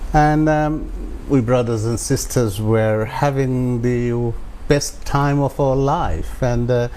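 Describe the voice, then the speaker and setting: A man in his sixties with a Bangladeshi accent tells his own story as an interview guest, haltingly, with filled pauses. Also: on the low side, warm tone, slight background noise, low-quality recording. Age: 60s.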